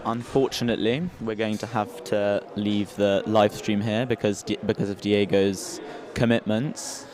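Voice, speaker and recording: A young man co-hosts a student sports livestream in a matter-of-fact way. His voice is low and very flat, with little rise and fall. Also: unhurried, few pauses. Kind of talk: casual sports livestream sign-off.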